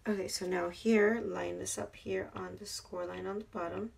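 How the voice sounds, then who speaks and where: A woman hosting a craft tutorial, talking casually while she works with her hands, her voice hoarse. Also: fairly low pitch, fairly even intonation, moderate pace, a little mellow, a little hiss or hum. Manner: chatty, talks while working with her hands. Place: craft table at home.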